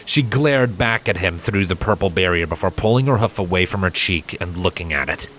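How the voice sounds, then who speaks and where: Deep-voiced man narrating a dramatic story. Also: animated, a little fast, a bit bright, a little hiss or hum, rough recording. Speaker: fan fiction narrator.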